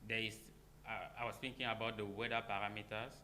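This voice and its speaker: A man answering questions after a conference talk, hesitant and halting in non-native English, recorded with noise. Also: lowish voice, flat delivery, sharp tone. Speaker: conference speaker. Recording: noisy room with hum.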